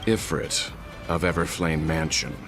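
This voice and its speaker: A guarded, authoritative spacefarer from a video game. His voice is extremely low and he speaks formally and deliberately. Music is clearly audible behind him. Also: level tone. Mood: probing. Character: stern, composed, guarded male space-traveling crew veteran.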